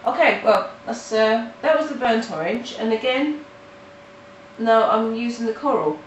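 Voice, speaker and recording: A woman with a nasal voice from hay fever, talking halting and casual as she walks through a coloring tutorial at home. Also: a little high, dull audio. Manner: halting, with restarts and fillers. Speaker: art tutorial host. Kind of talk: casual step-by-step tutorial narration. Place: home in the countryside. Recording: roomy, mic at a distance, faint noise.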